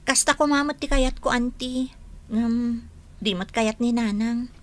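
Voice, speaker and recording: A woman's voice: fairly high pitch, a little slow, lightly acted. A woman playing a devoted, polite young daughter in a radio drama, with an Ilocano accent.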